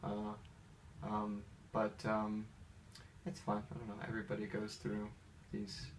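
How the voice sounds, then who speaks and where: A man's voice: lowish voice, completely flat delivery, unhurried. A man in his thirties with an American accent, talking casually and hesitantly in a rambling, personal way as he answers interview questions. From Atlanta, United States. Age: 30s. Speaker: interviewee, pianist. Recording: distant mic with faint background noise.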